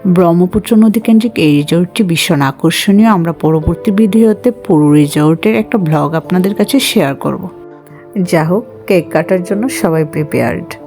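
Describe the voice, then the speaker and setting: A woman's voice: fairly low pitch, moderate pace, dark tone, faint music behind. A woman with a Bangladeshi accent giving an informal voice-over for a travel vlog. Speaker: vlog narrator.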